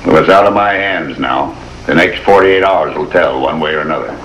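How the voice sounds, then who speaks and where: An actor playing an older, gruff but kindly country doctor in a 1950s television drama, plain-spoken and wry. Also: male voice, a bass voice, normal speed, a bit bright. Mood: grave. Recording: noisy vintage 1950s television soundtrack.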